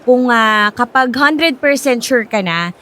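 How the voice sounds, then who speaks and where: An actress with a Filipino accent playing a supportive, chatty former classmate in a radio drama, coaxing and reassuring. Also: female voice, on the high side, animated, slow, sharp tone, heavily acted. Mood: coaxing, helpful. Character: encouraging, chatty former classmate, a woman working at a school.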